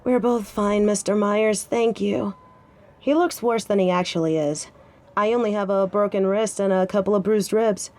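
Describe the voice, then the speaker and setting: A woman's voice: medium pitch, normal speed, a little mellow, natural voice. A woman playing a tough, wry young biker who plays things down, in an audio drama. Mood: wry, dismissive. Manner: wry, downplaying.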